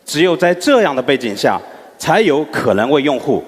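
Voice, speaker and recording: A man's voice: on the high side, big pitch swings, normal speed. A company executive, a man, giving an emphatic product launch speech. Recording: reverberant launch hall.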